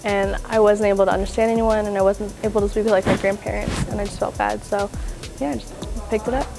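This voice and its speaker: A woman's voice: medium pitch, fairly even intonation, fast talker, vocal fry. A young woman, a student, answering interview questions casually with lots of fillers. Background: background music.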